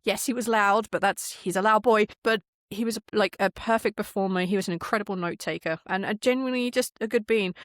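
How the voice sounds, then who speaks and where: A woman chatting casually as a podcast guest, telling things in an animated, enthusiastic way. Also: mid-range voice, a little fast, hardly any pauses, no echo, clean studio sound. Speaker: podcast guest, audio drama creator and game master. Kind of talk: casual interview chat.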